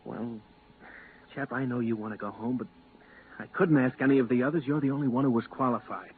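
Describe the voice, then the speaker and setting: A man's voice: medium pitch, fairly even intonation, fairly quick, lightly acted. An actor playing an earnest, homesick space crewman in a 1950s radio drama. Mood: pleading. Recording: noisy, narrow-band 1950s radio recording.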